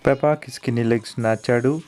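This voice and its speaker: A man's voice: mid-range voice, fairly even intonation, brisk, over loud music. A man narrating a children's cartoon story as its storyteller.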